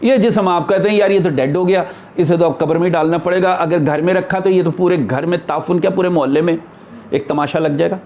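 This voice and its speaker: Male spiritual teacher, distinctly high-pitched. Informal sermon delivery, explanatory and emphatic. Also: brisk, darkish tone. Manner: emphatic, explanatory, with rhetorical questions. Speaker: spiritual teacher answering followers' questions. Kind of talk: informal religious discourse.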